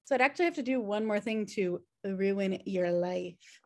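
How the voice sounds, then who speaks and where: A woman's voice: mid-range voice, moderate pace, lightly acted. A woman reading the part of a sardonic, wisecracking slacker sister in a sitcom table read over a video call. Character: flippant, sardonic slacker sister, adult twin. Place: indoor room at home on video call.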